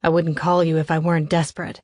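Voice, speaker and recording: A menacing criminal man from an audiobook thriller , acted by a woman narrator. He is desperate, contemptuous and goading. The voice is lowish and closely dry. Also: level tone, fast talker, a little mellow, no room sound, natural voice. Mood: goading, demanding.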